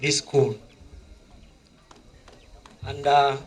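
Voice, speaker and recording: A man's voice: mid-range voice, flat delivery, extremely slow, slightly rough. A man with a Kenyan accent announcing as master of ceremonies at a live awards event, exhorting and repeating himself. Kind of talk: live event announcing, switching between reading and hyping up. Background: music.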